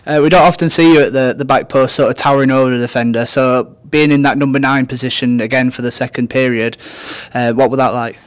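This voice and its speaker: Mid-pitched male sports interviewer. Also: steady tone, fast, hardly any pauses, clear, resonant tone. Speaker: club interviewer. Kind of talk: post-match sports interview questions. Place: indoors, off camera.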